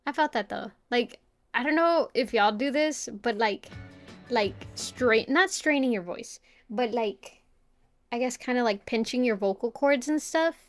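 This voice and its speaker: A woman's voice: fairly high pitch, expressive, unhurried, soft background music. A young woman chatting casually to her stream while drawing, rambling with lots of filler words and frequent giggles. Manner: giggly, playful voice play.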